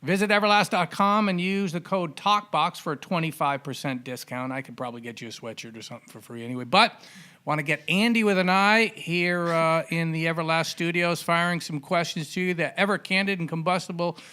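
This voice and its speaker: A male podcast host with a fairly high-set, very bright voice. He sounds clean and crisp as he chats and handles introductions and sponsor reads. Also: steady tone, a little fast. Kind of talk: conversational hosting with sponsor read.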